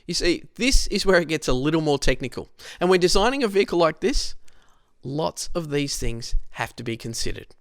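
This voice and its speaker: An Australian man reading a scripted historical narration in a measured, explanatory way. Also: on the high side, lively intonation, vocal fry, no echo, studio quality.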